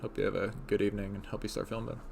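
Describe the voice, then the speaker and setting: A man's voice: on the low side, flat delivery, racing, creaky, noisy background. A young man from Indiana hosting a livestream, laid-back and laughing often as he reads chat comments aloud. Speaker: livestream host.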